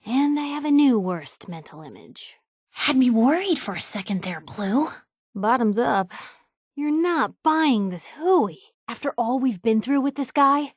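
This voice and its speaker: A woman's voice: fairly high pitch, no echo, studio quality. An actress playing a wry, plucky young woman reporter, an outspoken and loyal video game companion with a sardonic, conversational delivery.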